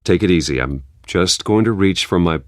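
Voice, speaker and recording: A composed billionaire with a secret life, played by a male actor. His voice is very low and recorded dry and close. He speaks in a measured, guarded, serious manner suited to a dramatic video game. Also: no echo, lightly acted. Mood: placating. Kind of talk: restrained video game acting.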